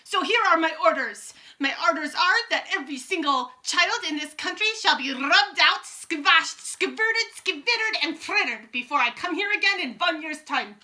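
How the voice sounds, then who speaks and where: A woman's voice: extremely high pitch, animated, normal speed, hardly any pauses, pushed and bright, voice pushed up, full character voice, rough recording. A woman voicing a furious, screaming old witch ruler giving orders to her followers. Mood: commanding. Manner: screaming, harsh foreign-tinged commands.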